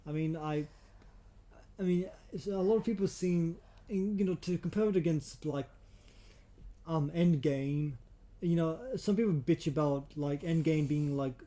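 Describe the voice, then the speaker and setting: A man chats casually as a podcast co-host. His voice sits a little high for a man and has a soft, mellow tone. He speaks hesitantly, repeating himself as he works toward his point. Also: unhurried.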